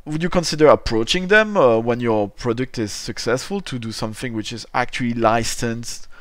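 A man's voice: medium pitch, normal speed, fairly bright tone, no room sound. Chatty Belgian-born man with a dry sound. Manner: chatty, rambling, many restarts and laughs. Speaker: podcast host. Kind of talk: casual podcast interview.

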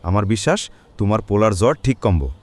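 A man's voice: fairly low pitch, animated, racing. A man with a rural Bangladeshi accent playing a kind, devout village friend in a cartoon, earnest and reassuring. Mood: consoling. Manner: earnest, consoling, gently exhorting. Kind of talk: cartoon voice acting. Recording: faint background noise with birdsong.

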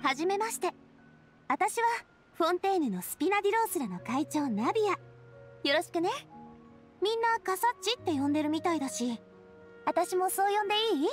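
A woman playing a confident, caring young leader in a Japanese anime game, speaking in a friendly, expressive manner. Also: very high voice, brisk, long pauses, soft background music.

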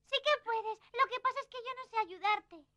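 Una actriz de doblaje con acento castellano interpreta a una niña de montaña entusiasta, cariñosa e insistente en dibujos animados. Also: female voice, extremely high pitch, moderate pace, bright, forceful tone, dry recording. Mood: concerned, apologetic. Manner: insistent, encouraging, exclamatory. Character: eager, caring, optimistic little mountain girl. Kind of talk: dubbed cartoon acting.

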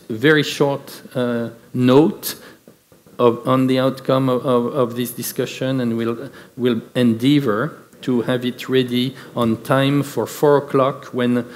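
Guy moderating a panel, lots of uhs and restarts, mid pitch, echoey conference hall. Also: fairly even intonation, takes it slowly. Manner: hesitant, many fillers and restarts. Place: large conference hall with audience.

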